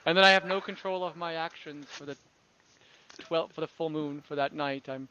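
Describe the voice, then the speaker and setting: A man playing a solitary, good-humored werebear who explains his curse in a plain, halting way. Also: fairly high pitch, bright, forceful tone, dull audio, a little hiss or hum. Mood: casual, amused.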